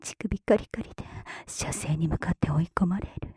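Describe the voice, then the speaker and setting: A woman performing erotic ASMR roleplay in a breathy whisper, with a teasing, gently commanding big-sister manner, close on the mic. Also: lowish voice, moderate pace, no room sound. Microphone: close mic, dry.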